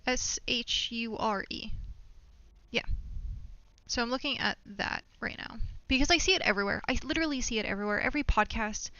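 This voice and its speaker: A woman's voice: fairly high pitch, fairly quick, bright, forceful tone, dry recording. A woman streamer chatting casually in a giddy, rambling, self-deprecating way on a dull lo-fi mic. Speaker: live game streamer. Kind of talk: casual livestream chat. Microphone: budget desktop microphone.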